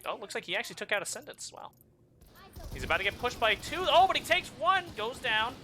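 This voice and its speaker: A man whose native language is German, giving excitable live play-by-play commentary on a video game match. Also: very high voice, fast, slight background noise. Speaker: esports commentator.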